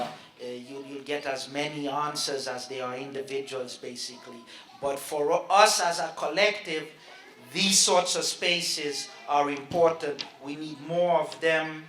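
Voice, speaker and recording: A middle-aged man speaking as a panelist, measured and hedging, into a handheld microphone at a small event. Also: on the high side, a bit bright, reverberant. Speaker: panelist, researcher and activist. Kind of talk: panel discussion. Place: small event stage with a live audience.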